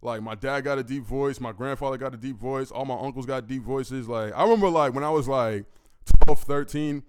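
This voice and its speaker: A man in his twenties with a deep, gravelly voice, a musician answering fan questions in laid-back, profane casual talk. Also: fairly quick. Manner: laid-back, profane, keeps asking "you know what I mean"; commanding, projecting delivery. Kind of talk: casual solo Q&A.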